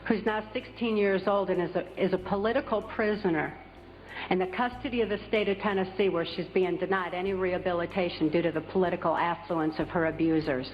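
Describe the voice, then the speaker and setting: A woman delivers an emphatic public speech in a deliberate manner, her slightly low voice trailing into a long hall reverb. The recording sounds dull, and faint music sits underneath. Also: fairly even intonation. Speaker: speaker giving testimony.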